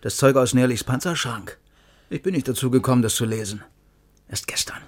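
An actor playing an embittered, coarse and threatening armed East German state security officer in a radio crime drama. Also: male voice, mid-range voice, animated, a little fast, close to an everyday voice. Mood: bitter anger.

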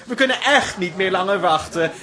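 A woman's voice: mid-range voice, expressive, moderate pace, sharp tone. A woman playing an exasperated, demanding event organiser in a comic radio play. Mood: impatient. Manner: urgent, demanding. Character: impatient, exasperated woman organising an exhibition opening.

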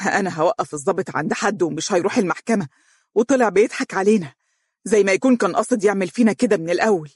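A narrator voicing a panicked, ashamed young Egyptian man who shouts fast in despair. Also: sits high, slightly rough, no echo, studio quality, pitched above the performer's own voice. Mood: bitter. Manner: rapid, desperate shouting.

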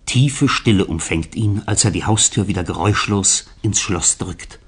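Male radio-play narrator: deep-leaning, rough-edged voice, expressive scripted storytelling. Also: moderate pace.

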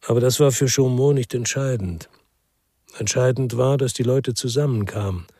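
A man playing a brooding former police detective who narrates a crime radio drama in a sober, terse, reflective way with a husky voice. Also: medium pitch, normal speed, warm tone, dry recording, clean studio sound.

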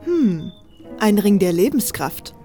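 A woman's voice: mid-range voice, big pitch swings, slow pace, warm tone, soft background music. A woman playing an affectionate, curious young friend in a fantasy audio drama, with tender delivery.

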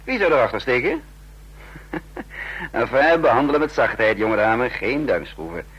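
A commanding, sardonic intelligence chief in a radio drama. His delivery swings freely in pitch and is slightly husky, brisk and teasing. The recording is dull and lo-fi. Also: sing-song, a bit bright, full character voice. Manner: brisk, teasing, giving orders. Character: sardonic, commanding male chief of secret agents. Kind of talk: Dutch radio play acting.

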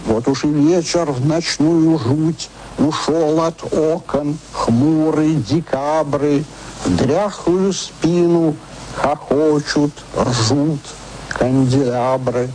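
A man declaims poetry with strong emphasis. He reads slowly in a fairly high voice, and faint noise sits under the recording. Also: darkish tone, poor audio. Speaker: reader of verse. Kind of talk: archival poetry recitation.

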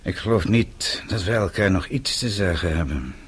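Bitter exiled banker, deep husky voice, scornful, emphatic, radio play. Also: male voice, lively intonation, normal speed. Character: defiant, bitter exiled male banker, suspected political dissident.

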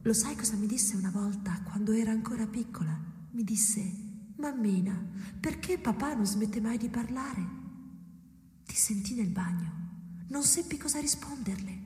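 An actress playing a detached, reproachful wife in a literary radio drama, ghostly and hushed, often half whispering. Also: female voice, a little high, animated, normal speed, natural voice. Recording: reverberant hall echo, noisy ambience.

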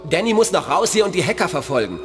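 A man playing a brisk, commanding antivirus program who leads his team with urgent, clipped orders in a children's audio drama. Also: high-pitched, big pitch swings, big-room sound. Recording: music underneath.

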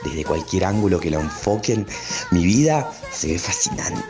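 Woman acting a very deep, grandiose show-off. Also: huge pitch swings, normal speed, music in the background, full character voice. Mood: smug. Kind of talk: radio drama acting.

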